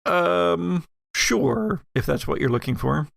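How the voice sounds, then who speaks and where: Male game master for horror tabletop play, unhurried and deliberate, recorded dry. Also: fairly high pitch, lively intonation, creaky, no room sound. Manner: halting, deliberate scene-setting.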